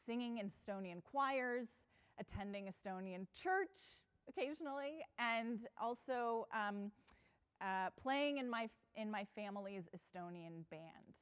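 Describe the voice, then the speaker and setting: A woman's voice: fairly high pitch, measured pace, smooth. A woman from the United States giving an earnest, personal conference talk on stage, with some fillers and restarts. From United States (Pacific Northwest). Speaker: conference speaker.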